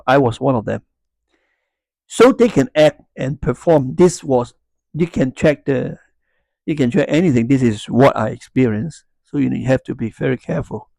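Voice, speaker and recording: A middle-aged Hong Kong man talks in accented English, and Cantonese is his first language. He rambles in a casual monologue, full of fillers and restarts, with a few wry laughs. His voice sits at a medium pitch, and the recording is dry and close. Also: vocal fry, darkish tone, no room sound. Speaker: YouTuber.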